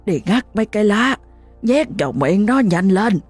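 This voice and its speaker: A woman's voice: mid-range voice, animated, normal speed, darkish tone, husky, dry recording, soft background music, voice pushed up. A woman voicing the ghost of an old grandmother giving curt orders. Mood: commanding.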